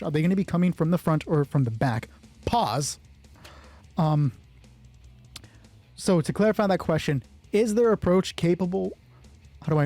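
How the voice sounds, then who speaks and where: A man with a slightly high yet husky voice runs a solo roleplay game, talking through it as he goes. He is casual and swears freely. Music plays clearly behind him. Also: warm tone. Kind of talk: solo tabletop roleplay, narrating and thinking aloud. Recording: background music under the voice.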